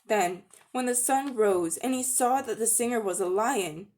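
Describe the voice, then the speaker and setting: Expressive woman reading a storybook aloud. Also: fairly high pitch, unhurried, rough recording. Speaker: audiobook-style narrator. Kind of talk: dramatic reading of a children's storybook.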